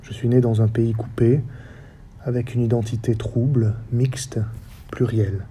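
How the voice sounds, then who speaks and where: A man in his forties from the French Basque Country, with a faint Basque accent, giving a reflective public talk as a stage director in measured, short phrases. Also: medium pitch, level tone, mellow, a little hiss or hum. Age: 40s. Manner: measured, list-like delivery with short paused phrases. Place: theatre stage or hall.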